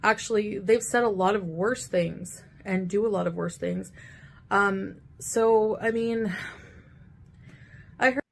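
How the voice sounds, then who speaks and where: Gravelly-voiced woman, defensive. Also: medium pitch, takes it slowly, pauses often, slight background noise. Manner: defensive, self-justifying. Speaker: video creator. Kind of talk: talking to camera.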